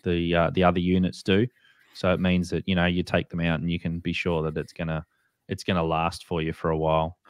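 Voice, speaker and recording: A man's voice: low-pitched, monotone, brisk, no room sound, studio quality. A man with an Australian or New Zealand accent, chatting informally as a show host while explaining products to beginners. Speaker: co-host of a radio hobby show. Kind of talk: casual product walkthrough for beginners.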